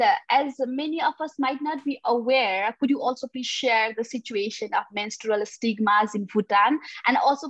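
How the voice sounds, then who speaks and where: Higher-voiced woman on dull, dry call audio. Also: dry recording. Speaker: moderator. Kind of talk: moderating a webinar panel. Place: home room on a video call.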